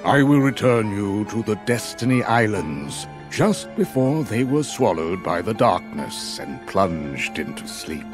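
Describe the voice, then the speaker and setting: A man voicing a stern old wizard master in a game, solemn, measured and authoritative as he explains. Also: on the low side, sing-song, music in the background. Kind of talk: solemn scripted game exposition.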